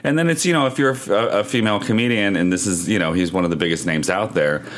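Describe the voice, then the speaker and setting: A man's voice: lowish voice, fast, fairly bright tone. A man chatting on a podcast, rambling with many fillers. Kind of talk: casual chat. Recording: faint background noise.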